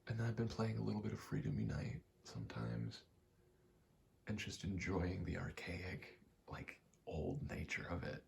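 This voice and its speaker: A soft-spoken man giving a hesitant, self-deprecating ASMR ramble. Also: low voice, normal speed, gravelly, slight background noise. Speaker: video game ASMR creator.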